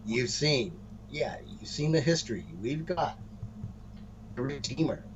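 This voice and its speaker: A man co-hosting a casual wrestling storyline chat, speaking haltingly and often repeating words as he restarts phrases. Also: medium pitch, animated, crowd noise. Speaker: co-host of a wrestling podcast. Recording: muffled, noisy room recording.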